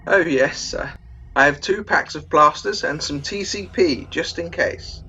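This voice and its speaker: A man's voice: fairly high pitch, measured pace, bright, forceful tone, muffled recording. A man playing a meek, polite elderly medic in a comic radio play, genteel, fussy and apologetic.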